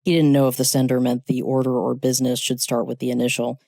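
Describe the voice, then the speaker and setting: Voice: medium pitch, level tone, quick pace, gravelly, mellow, dry recording. A narrator reading a scripted short story.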